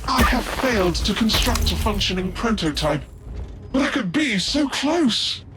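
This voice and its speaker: A man's voice: high-pitched, big pitch swings, a little slow, a bit bright, soft background music, exaggerated voice. A man voicing an obsessive renegade tech-priest savant in a video game, speaking formally and theatrically with courteous menace. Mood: fervent, regretful. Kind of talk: dramatic video game acting.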